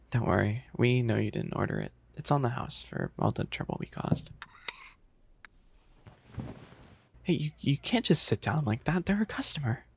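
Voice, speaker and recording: A man's voice: mid-range voice, very animated, moderate pace, vocal fry, husky, exaggerated voice. A man voicing a bashful, fussy young animal-boy maid café server in an ASMR roleplay, stammering and pouty. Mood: apologetic.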